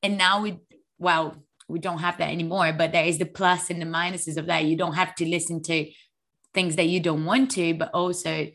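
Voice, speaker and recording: A woman co-hosting a fitness podcast in casual chat, sounding a little fluey and telling stories in an animated way. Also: fairly low pitch, normal speed. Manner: chatty, animated storytelling. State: a little hoarse with flu. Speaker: podcast co-host; online fitness coach.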